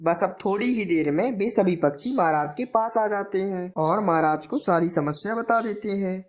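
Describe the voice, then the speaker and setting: A woman's voice: medium pitch, normal speed, darkish tone. A woman narrator reading a scripted children's moral story.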